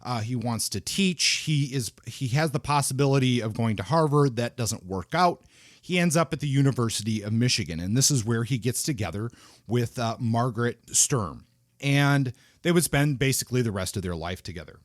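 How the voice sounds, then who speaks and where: A man hosts a book podcast, talking through an author's life in loose, unscripted sentences full of small restarts. His voice sits at an ordinary middle pitch for a man. The sound is dry and close, with no room echo. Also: lively intonation, normal speed. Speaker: podcast host. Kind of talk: conversational podcast talk about books.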